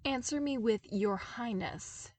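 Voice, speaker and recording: A woman's voice: fairly high pitch, takes it slowly, a little mellow, dry recording, distant mic. A woman voicing a haughty young male crown prince in a storybook reading, curt and imperious. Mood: cold.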